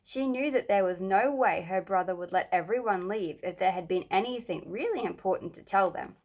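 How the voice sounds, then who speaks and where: Female narrator for children's audiobook, mid-pitched voice, reading scripted story prose. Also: moderate pace, darkish tone. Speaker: storybook reader.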